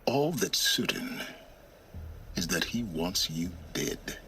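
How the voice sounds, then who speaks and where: An actor playing an arrogant, impatient middle-aged researcher of ancient ruins in a video game, speaking in a deliberate, condescending, pompous manner. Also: male voice, deep voice, expressive, bright, forceful tone, slight background noise, heavily acted. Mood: impatient, curt. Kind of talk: dramatic video game acting.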